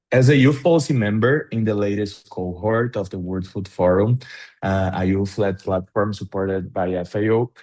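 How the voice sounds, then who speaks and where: Low-voiced Brazilian man on a video call. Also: darkish tone. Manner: earnest, formal, with fillers and restarts. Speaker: panelist. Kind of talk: webinar panel answer.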